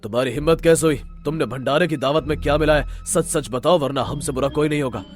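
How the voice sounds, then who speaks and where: A man plays a stern village headman in a cartoon story. He is suspicious and authoritative, demanding answers in a fairly high male voice, with music behind. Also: quick pace, natural voice. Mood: threatening.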